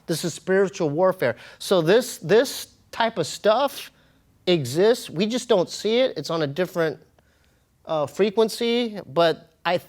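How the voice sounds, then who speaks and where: A man telling personal stories as an interview guest, in an informal, slangy way with halting, repeated phrases. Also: high-pitched, animated. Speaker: interview guest, comedian and podcast host. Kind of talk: casual animated storytelling.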